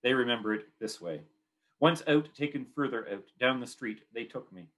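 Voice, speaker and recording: A man's voice: mid-range voice, expressive, normal speed, fairly bright tone. A man with a Canadian accent giving a poetry reading of his own work in short, clipped, repeating phrases.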